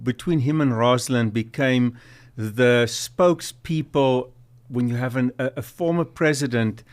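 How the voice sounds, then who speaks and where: A man's voice: mid-range voice, a little slow. A middle-aged man from South Africa with a light South African accent, telling stories as a guest on a talk show. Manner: storytelling, earnest, many fillers. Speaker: guest, nonprofit executive director. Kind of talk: casual interview chat.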